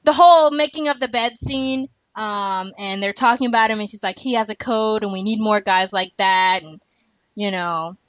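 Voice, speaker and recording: A woman hosting a casual podcast chat, talking fast and laughing often between points. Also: medium pitch, dry recording. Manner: chatty, laughs often, animated asides. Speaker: podcast host. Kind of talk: casual chat about a TV show. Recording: dull lo-fi, dry.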